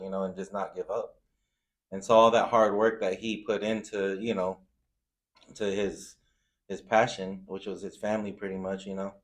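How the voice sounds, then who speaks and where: A man from Zambia in a casual interview, telling personal anecdotes in an informal, slangy way. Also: on the low side. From Zambia, moved to the US. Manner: informal, slangy, frequent "you know". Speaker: food truck owner, interview guest.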